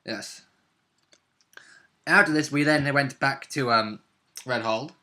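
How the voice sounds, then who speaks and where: A man's voice: medium pitch, takes it slowly, bright, forceful tone. A man chatting casually as a player looking back on a tabletop role-playing session, easygoing and chipping in with short remarks. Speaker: player and co-presenter.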